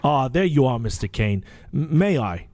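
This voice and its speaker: A man's voice: mid-range voice, very animated, normal speed, no room sound, lightly acted. An actor playing an anxious, deferential finance man in an audio drama, speaking formally and apologetically. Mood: polite, hesitant. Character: anxious, deferential male finance man reporting bad news. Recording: loud music behind the voice.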